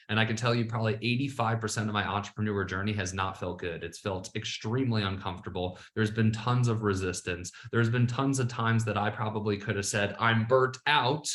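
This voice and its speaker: A man's voice: on the low side, a bit bright. Emphatic male coach in an echoey room. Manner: emphatic, repeats "all right" often. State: a little sleepy. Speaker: business coach and podcast host. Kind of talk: casual motivational monologue.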